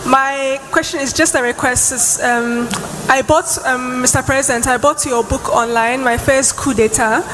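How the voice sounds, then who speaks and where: A woman with a high voice makes a polite, slightly shy request from the audience. Clear background noise surrounds her. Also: fairly even intonation, moderate pace.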